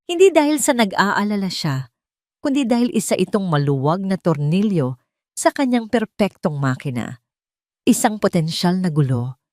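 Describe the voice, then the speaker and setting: A woman's voice: mid-range voice, sing-song, moderate pace, a little mellow, no echo, clean studio sound. A woman narrating a scripted love story in a dramatic storytelling style.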